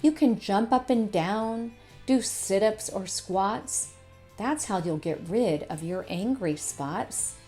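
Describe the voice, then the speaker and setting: A woman's voice: mid-range voice, takes it slowly, dark tone, close to an everyday voice. An upbeat, encouraging kangaroo played by a woman gives instructions in a storybook read-aloud. Faint music runs underneath.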